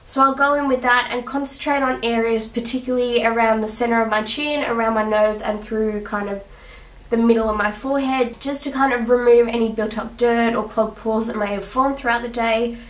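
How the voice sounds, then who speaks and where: A young woman with a sick, husky rough voice, chatting through her skincare routine with little laughs. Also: on the high side, fairly even intonation, brisk. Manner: chatty, giggly, with fillers. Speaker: beauty vlogger. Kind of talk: casual skincare tutorial. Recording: echoey room, mic at a distance.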